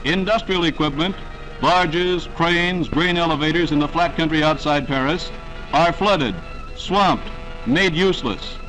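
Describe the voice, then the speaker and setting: A man with a fairly high voice delivers scripted wartime newsreel narration in a dramatic, declamatory way. The sound is that of a restored 1940s film soundtrack, with a prominent music score playing behind him. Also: a little slow, low-quality recording.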